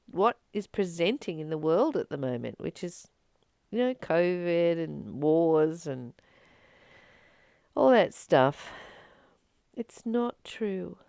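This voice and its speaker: A woman gives an informal spiritual talk at a slow, unhurried pace. Her pitch sits in the middle and swings widely as she earnestly leans on repeated words for emphasis. The sound is close and dry. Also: smooth, no echo. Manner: earnest, expressive, emphatic repetition. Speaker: spiritual teacher and channel host.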